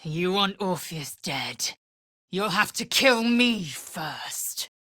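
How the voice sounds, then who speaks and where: Husky fierce alien warrior woman for a video game, haughty and clipped, slow delivery w big pitch swings. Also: big pitch swings, pushed and bright, no room sound, clean studio sound, heavily acted. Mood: furious. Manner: imperious, clipped, disdainful. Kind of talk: video game character voice lines.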